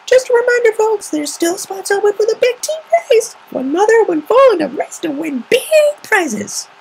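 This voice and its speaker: A man's voice: extremely high pitch, sing-song, normal speed, gravelly, voice pushed up, exaggerated voice, rough recording. A man voicing a bouncy, chirpy young female cartoon party pony making an announcement. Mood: excited, perky. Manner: perky, announcer-like.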